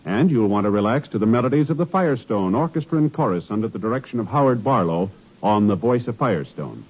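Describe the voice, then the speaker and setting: An American man working as a 1950s radio network announcer, reading scripted copy in a polished, formal, measured promotional delivery. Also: on the low side, expressive. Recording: dull 1950s radio recording, faint music.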